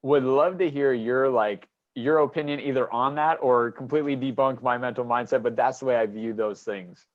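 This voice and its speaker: Give me a man hosting a podcast interview who asks long, rambling questions padded with "you know." His voice sits at a middle pitch. The sound is a little dull and lo-fi. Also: steady tone, normal speed, hardly any pauses. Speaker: podcast host. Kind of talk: conversational interviewing.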